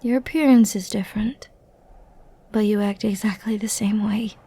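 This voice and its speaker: A woman playing an ancient, flirty and dangerous banished villainess in an intimate ASMR roleplay, breathy and often near a whisper, with tender, brooding, dramatic pauses. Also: mid-range voice, fairly even intonation, mellow, pitched below the performer's own voice.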